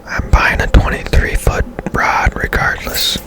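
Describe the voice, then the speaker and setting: Guy whispering asmr gaming commentary, real slow, casual musing, all hushed. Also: over music. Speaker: game streamer, ASMR host.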